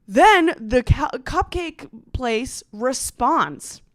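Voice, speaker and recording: A woman's voice: high-pitched, lively intonation, drawn-out words, no room sound, studio quality. A young American woman, a comedian, telling a story on a podcast in a casual, slangy, sarcastic way with dramatic emphasis.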